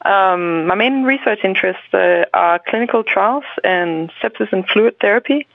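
A woman's voice: medium pitch, normal speed, vocal fry, dry recording. Woman, Danish accent, hesitant with fillers, dry sound, tinny remote line. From Denmark. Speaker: doctor and PhD researcher guest. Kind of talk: remote podcast interview.

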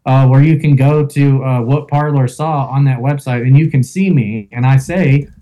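A man with a loud voice, a guest in an interview, telling a first-person account with fillers like "um" and "you know". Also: mid-range voice, steady tone, normal speed, rough recording. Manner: storytelling with fillers and reenacted quotes.

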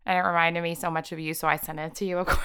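A woman co-hosting a podcast, chatting casually in an enthusiastic, supportive and expressive way. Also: lowish voice, rapid-fire, smooth. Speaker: podcast co-host.

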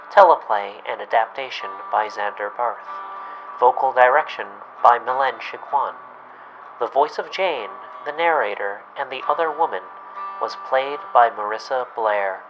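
A man's voice: mid-range voice, moderate pace, a bit bright. A man announcing credits in a scripted read at the end of a radio play. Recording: dull lo-fi sound, guitar music behind.

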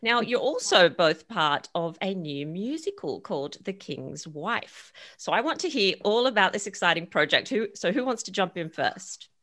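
A woman's voice: medium pitch, sing-song, moderate pace, hardly any pauses, a bit bright. A woman hosting an interview podcast, effusive and full of enthusiastic praise. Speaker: podcast host.

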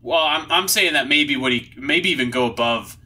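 Bright, forceful man chatting casually. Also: fairly high pitch, moderate pace, slightly rough. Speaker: podcast host. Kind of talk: casual comedic recap chat.

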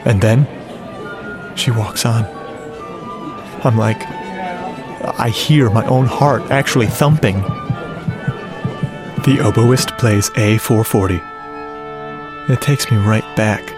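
Lovestruck geeky radio-drama narrator, music underneath. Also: male voice, fairly low pitch, slow, pauses often. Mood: eager, reflective. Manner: confiding, earnest, dramatic asides. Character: lovestruck, self-deprecating, geeky male sound-effects artist. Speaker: narrator and lead character. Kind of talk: first-person radio drama narration, conversational.

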